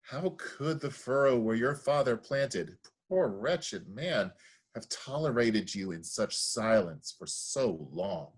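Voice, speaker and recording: Husky, swooping chorus elder played by a man. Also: medium pitch, lively intonation, takes it slowly. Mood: grieving. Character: awed, grieving elder of the tragic chorus. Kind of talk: solemn theatrical verse reading.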